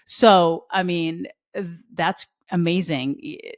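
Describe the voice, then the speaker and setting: A woman's voice: on the low side, takes it slowly, no echo, studio quality. A woman hosting a podcast, chatting casually with lots of fillers. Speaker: podcast host. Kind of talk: casual chat.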